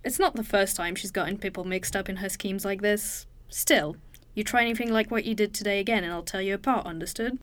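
A woman's voice: mid-range voice, brisk, few pauses, dry recording, lightly acted. Woman acting as world-weary woman, tough, wry, understated delivery, audio drama. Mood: dry, stern. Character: tough, world-weary woman, wry, menacing yet compassionate crew member.

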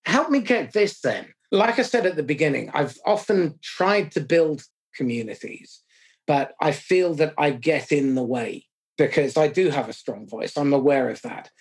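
A middle-aged man hosting a podcast, summing up points in a measured, self-deprecating way. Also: a little high, animated, slightly rough. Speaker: podcast host. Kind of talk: conversational podcast interview.

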